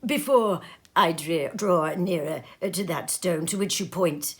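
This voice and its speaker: A woman's voice: fairly low pitch, takes it slowly. A woman voicing a frightened, repentant old miser who pleads earnestly and dramatically in a storybook reading. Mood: anxious.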